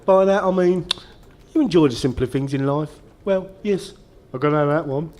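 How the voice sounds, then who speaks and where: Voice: mid-range voice, normal speed, darkish tone, lightly acted. A performer playing a dry, impatient male careers advisor, curt and officious, in a comic radio sketch. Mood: dry impatience.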